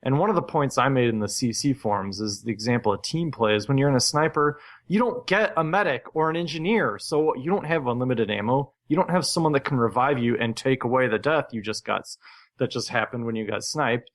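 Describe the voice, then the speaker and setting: An opinionated male podcast co-host with a mid-pitched voice. He talks casually about gaming and tends to argue his point like a rant. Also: expressive, brisk. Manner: opinionated, ranting.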